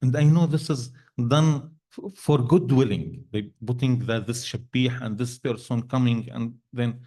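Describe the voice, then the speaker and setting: Panel speaker, male, non-native English, halting and searching for words, dark mellow tone. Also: medium pitch. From Syria. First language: Arabic. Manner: halting, many restarts and fillers, non-native grammar. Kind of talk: panel discussion answer. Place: event hall.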